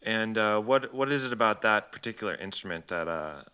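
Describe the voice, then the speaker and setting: A man with a low, clear, bright voice conducts a casual interview. He puts on a mock-serious tone and often halts as he works his way into a question. The delivery is joking and informal. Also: animated, a little fast.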